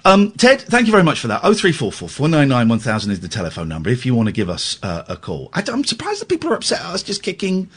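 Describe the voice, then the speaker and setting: A man's voice: medium pitch, wildly swooping pitch, fast, hardly any pauses, breathy edge. A man hosting a radio phone-in from a studio. He talks bluntly, teases his callers and interrupts them. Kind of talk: late-night phone-in chat.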